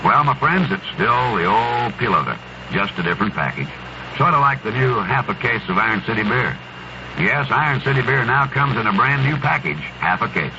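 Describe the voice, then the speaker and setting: A man's voice: medium pitch, animated, normal speed. A man reading a folksy radio advertisement, chummy and speaking directly to the listener. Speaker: commercial pitchman. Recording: dull noisy 1970s radio broadcast.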